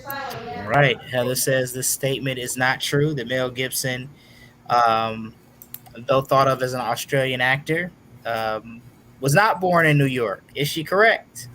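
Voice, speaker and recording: A man hosting a casual online trivia game show, announcing questions and results in a deliberate, drawn-out way. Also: mid-range voice, animated, sharp tone, a little hiss or hum, low-quality recording.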